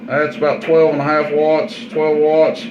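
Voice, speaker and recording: A man's voice: fairly high pitch, completely flat delivery, measured pace, darkish tone, dull audio, slight background noise. A man giving a casual, hands-on radio equipment demonstration in a plain-spoken, folksy, informal way. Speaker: product demonstrator and seller.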